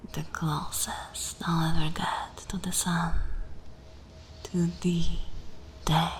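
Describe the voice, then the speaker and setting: A woman voicing a dominant, condescending, seductive vampire mistress in an ASMR roleplay, speaking in breathy, intimate whispers with long deliberate pauses. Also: deep voice. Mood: hypnotic, yearning.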